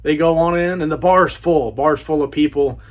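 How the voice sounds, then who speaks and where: A man's voice: a little high, normal speed. A man giving a casual book review as a channel host, enthusiastic, with a laid-back, slangy way of talking.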